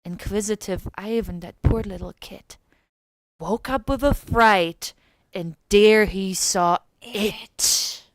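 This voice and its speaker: A woman's voice: mid-range voice, slow pace, no echo. A woman voice actress narrating a storybook poem dramatically, with theatrical suspense.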